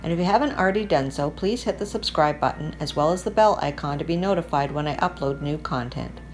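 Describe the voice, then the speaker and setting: A woman's voice: deep voice, lively intonation, quick pace, darkish tone. A woman reading a humorous story aloud as a storyteller, in scripted narration. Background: music.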